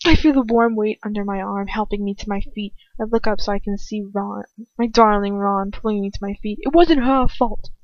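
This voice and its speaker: A woman narrates a dramatic story in the first person at a middle pitch, emotive and a little halting. She stumbles and restarts now and then. The sound is dry and slightly dull, like a lo-fi home recording. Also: fairly even intonation, no echo. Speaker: amateur fan fiction reader.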